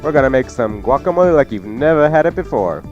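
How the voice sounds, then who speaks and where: Male radio chef host, casual and jokey instruction, average pitch, lo-fi broadcast sound. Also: expressive, fast, darkish tone, faint music behind. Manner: folksy, jokey, informal. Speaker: cooking show host and chef. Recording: dull lo-fi radio recording.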